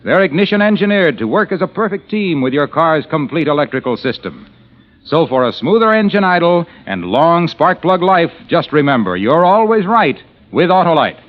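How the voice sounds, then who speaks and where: A man's voice: a little high, very animated, moderate pace. A man announcing and reading sponsor copy on a 1950s radio show. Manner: smooth, salesy. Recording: dull old radio broadcast.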